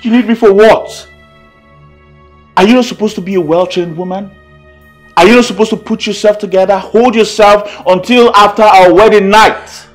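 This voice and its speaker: An actor playing a domineering, scornful husband in a melodramatic film, forcefully repeating curt commands in an echoey room. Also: male voice, sits high, moderate pace, long pauses, close to an everyday voice. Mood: exasperated, scolding. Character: domineering, scornful husband rejecting his wife. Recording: echoey room with music over it.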